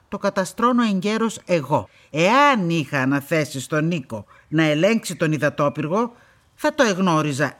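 A woman's voice: fairly low pitch, fairly bright tone. An actress playing a stern, imperious upper-class woman estate owner in a radio play, curt and haughty, with formal old-fashioned phrasing.

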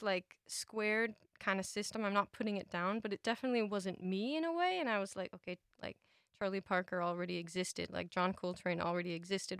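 A woman's voice: mid-range voice, fairly bright tone, clean tone, dry recording. Young woman musician being interviewed, super casual, says like a lot, dry studio sound. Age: young adult. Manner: informal, filler-heavy with "like", quotes her own thoughts. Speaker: musician guest. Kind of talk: casual chat. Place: radio studio.

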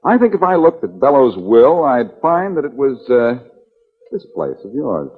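An actor playing a wry, shrewd, self-assured amateur detective in a 1940s radio drama, probing with deceptively casual questions. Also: male voice, mid-range voice, big pitch swings, moderate pace, breathy edge. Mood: accusing. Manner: probing, wry, hesitating before pointed questions. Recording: dull, noisy 1940s radio recording.

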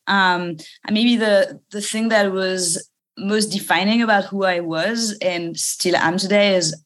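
A woman from France with a French accent, a company founder talking in a relaxed interview, pausing often with fillers. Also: mid-range voice. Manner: thoughtful, many pauses and fillers. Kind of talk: casual interview.

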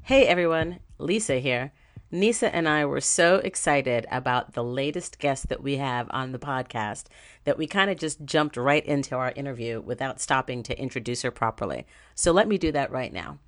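A woman co-hosting a podcast, reading a scripted introduction and then chatting with a guest, upbeat and quick to laugh. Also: rumbling low, animated. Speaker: podcast co-host, not a nurse.

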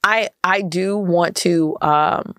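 A woman's voice: lowish voice, takes it slowly, fairly bright tone, no room sound, studio quality. An American woman talking emphatically in a casual interview, often repeating phrases for stress as a podcast guest. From United States. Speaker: podcast guest, entrepreneur and community activist.

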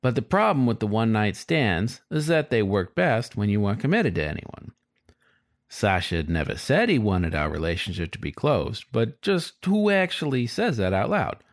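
A man's voice: lowish voice, big pitch swings, normal speed. A man voicing a sly, frustrated male fox who narrates his story in a wry, confiding, colloquial way, as in an audiobook.

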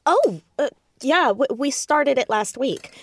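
A woman playing an anxious, defensive, bookish fourteen-year-old girl in an audio drama, stammering and halting. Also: high-pitched, lively intonation, full character voice. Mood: nervous, awkward. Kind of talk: naturalistic audio drama acting.

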